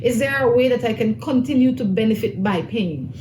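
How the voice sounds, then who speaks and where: A woman's voice: a little high, brisk. A woman hosting a talk show, interviewing a guest and restating his answers to check them. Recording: echoey room with noise.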